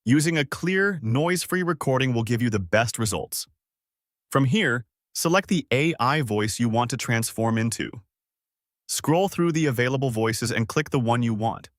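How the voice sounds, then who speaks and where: Man narrating a tutorial, lively intonation, dry sound. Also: medium pitch, moderate pace, no room sound. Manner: instructional, orderly, explanatory. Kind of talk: scripted step-by-step software tutorial narration.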